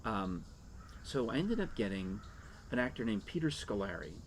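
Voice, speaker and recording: Middle aged american guy, lowish voice, telling stories casually on a podcast, outdoor noise behind him. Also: big pitch swings, moderate pace. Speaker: talk show co-host. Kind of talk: casual anecdotal chat. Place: screened porch outdoors. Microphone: shared desktop condenser mic on table. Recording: noisy open-air ambience.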